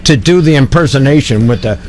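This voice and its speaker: A man's voice: mid-range voice, animated, a little fast. A man hosting a radio show in casual, joking, irreverent banter. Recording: radio aircheck with music bed.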